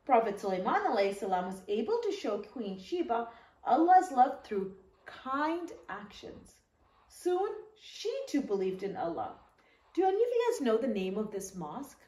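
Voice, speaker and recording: A woman storyteller reading a children's story aloud, with animated asides and questions to young listeners. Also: a little high, moderate pace. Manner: animated, asks listeners questions, acts out short quotes. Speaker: children's storyteller reading her own book. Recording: echoey room.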